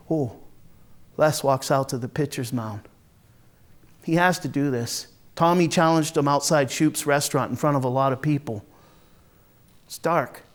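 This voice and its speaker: A man playing a volatile, hard-drinking, foul-mouthed Marine from a rough neighborhood, telling his story in a raw, halting dramatic monologue. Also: medium pitch, lightly acted. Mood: tense, brooding. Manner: raw, profane, halting then bursting into anger. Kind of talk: first-person dramatic monologue, conversational storytelling.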